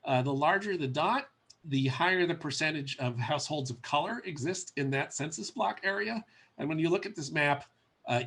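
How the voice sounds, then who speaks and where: A man's voice: mid-range voice, husky. A man with a cold, sounding stuffy, giving a conversational webinar presentation with frequent ums and uhs. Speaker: policy presenter.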